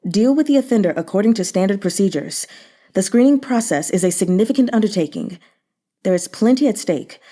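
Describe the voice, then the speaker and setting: A woman voicing a shrewd, unreadable male commander in a historical novel, calm, formal and deliberate. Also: mid-range voice, lightly acted. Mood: composed, shrewd.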